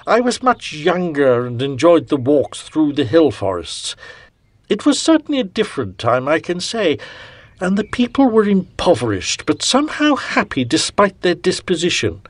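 Brief: man putting on a chatty old English gent, higher voice, sing-song lift, dry close sound. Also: huge pitch swings, normal speed, dry recording. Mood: genial. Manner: courtly, old-fashioned turns of phrase. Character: genial elderly English gentleman, chatty and polite.

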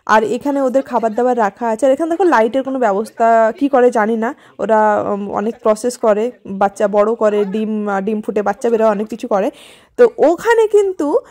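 High-voiced chatty vlogger woman. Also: normal speed, darkish tone. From India. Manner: chatty, rambling, with hesitant fillers. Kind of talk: casual vlog narration.

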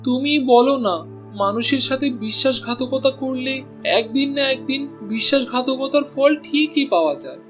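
A man's voice: extremely high pitch, normal speed, fairly bright tone, soft background music. A man voicing an honest, henpecked male butcher in a cartoon, speaking timidly and pleading. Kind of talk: cartoon voice acting.

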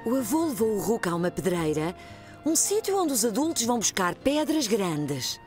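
A woman with a European Portuguese accent narrating a children's cartoon in a gentle storybook manner. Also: a little high, very animated, moderate pace, faint music behind.

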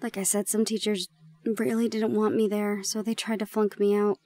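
A woman playing a shy, gentle young gorgon in an intimate audio roleplay, speaking softly and haltingly. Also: mid-range voice, level tone, natural voice. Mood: reflective, confiding. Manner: soft, hesitant, halting.

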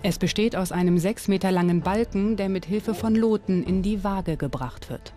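Mellow woman narrating a documentary over music. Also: mid-range voice, moderate pace. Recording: music bed under the voice. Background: background music.

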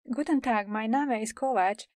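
A woman playing a polite caller who hesitates and spells her name slowly in a scripted learner dialogue. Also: fairly high pitch, a little mellow, no echo, clean studio sound, natural voice.

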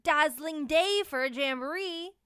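A woman's voice: high voice, unhurried, sharp tone, dry recording, clean studio sound, voice pushed up. A woman voicing a jaunty, friendly porcupine in a storybook, chirpy and exclaiming. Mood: cheerful, excited.

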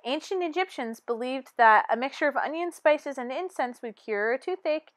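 A woman reading a children's picture book aloud in an expressive, teacherly manner. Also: high-pitched, moderate pace, no echo. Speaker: storybook reader.

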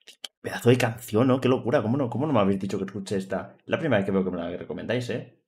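A man's voice: fairly low pitch, racing, a little mellow. A man in his twenties with a Spanish accent from Spain, giving casual, slangy, exclamatory reaction commentary. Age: 20s. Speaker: reaction video host. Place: small indoor room, seated at a desk. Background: hip hop music playing.

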